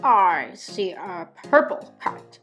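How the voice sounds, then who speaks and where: A woman reads a picture book aloud at a slow, deliberate pace. Her voice sits at a medium pitch, with music clearly playing behind it. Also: very animated. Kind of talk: slow storybook read-aloud. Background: prominent music.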